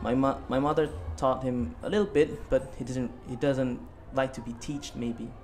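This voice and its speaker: Student podcast guest, male, late teens, from Bali. Mid-pitched, halting casual answers, audible background noise. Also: darkish tone. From Bali, Indonesia.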